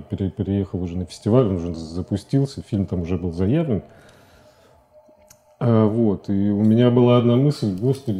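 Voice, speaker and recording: A middle-aged man talking reflectively about his work as an interview guest in a studio, with halting phrasing. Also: on the low side, dark tone. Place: TV studio, seated at a desk.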